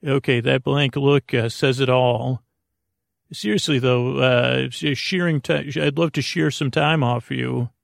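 A man hosting a podcast in a rambling, whimsical bedtime monologue, halting and stammering, trailing off mid-thought with deadpan, absurd asides. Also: medium pitch, vocal fry, no echo, studio quality. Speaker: podcast host.

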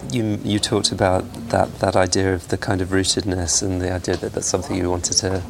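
A man hosting, who asks curious questions slowly, repeating words as he goes. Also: low voice, flat delivery, gravelly. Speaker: host. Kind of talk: interview questions. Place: bookshop, indoors. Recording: noisy public bookshop.

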